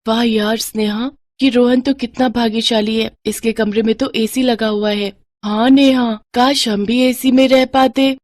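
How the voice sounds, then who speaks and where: A woman's voice: fairly high pitch, steady tone, measured pace, few pauses, a little mellow, dry recording, voice pushed up, natural voice. A woman voicing a poor, innocent little girl in a children's story, with a childlike, pleading and polite manner. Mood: wistful, admiring.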